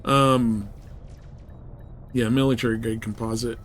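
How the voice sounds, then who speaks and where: A man's voice: mid-range voice, flat delivery, a little slow, a bit bright, slight background noise. A man chatting casually and informally as he hosts a live game stream, rambling in slangy language.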